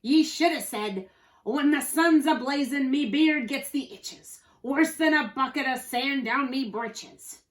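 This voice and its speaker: A woman voicing a gruff, short-tempered male pirate captain in a children's storybook, bellowing in folksy pirate slang. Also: a little high, expressive, normal speed, lightly acted. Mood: scolding.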